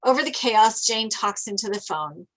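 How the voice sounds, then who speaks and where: A woman's voice: mid-range voice, lively intonation, moderate pace, a bit bright, rough recording. A woman reading stage directions as narrator at a script table read.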